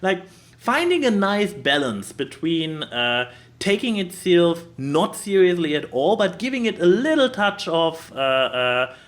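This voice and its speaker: A man with a high voice talks casually as a podcast co-host. His intonation is very lively and swoops around. He slips into acting out quotes as he explains his points. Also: unhurried. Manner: animated, acts out quotes. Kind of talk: casual movie discussion.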